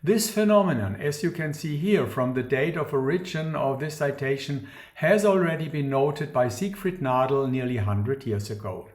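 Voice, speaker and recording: A man gives a scripted academic lecture in a formal, measured, precise manner. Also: mid-range voice, lively intonation, darkish tone. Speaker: academic researcher presenting a talk. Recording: roomy, echoing sound.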